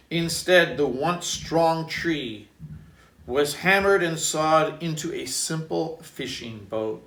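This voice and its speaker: A man, a priest, reading a children's story aloud in an expressive, deliberate way and adding reflective asides. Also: on the high side. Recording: roomy echo, distant microphone.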